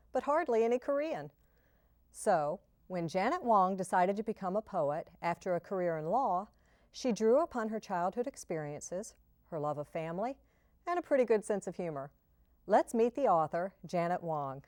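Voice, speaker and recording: A woman hosting an interview, speaking warmly and encouragingly to her guest. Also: medium pitch, no echo, studio quality. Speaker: show host, interviewer.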